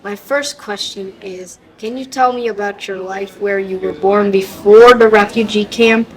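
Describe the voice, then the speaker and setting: Woman, student interviewer, mid-pitched voice, careful halting delivery, prepared questions. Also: steady tone, rough recording.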